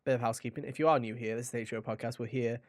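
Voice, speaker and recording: A man's voice: mid-range voice, fairly even intonation, racing, dry recording. A man hosting a podcast in a casual, chatty way, with a jokey, mock-dramatic manner, close to the microphone. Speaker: podcast host.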